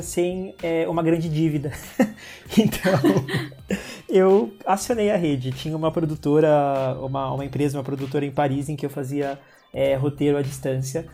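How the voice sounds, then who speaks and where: A man's voice: on the high side, fairly even intonation, moderate pace, dark tone. A man with a Brazilian Portuguese accent, a filmmaker guest chatting casually about his work, with hesitant restarts and frequent laughs. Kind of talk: casual interview talk.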